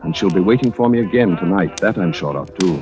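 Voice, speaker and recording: An actor in an old-time radio drama playing a pompous, deluded husband who fancies himself a secret prince, with a grandiose, theatrical manner. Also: male voice, sits low, animated, fast. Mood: grandiose, secretive. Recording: vintage radio recording, music behind.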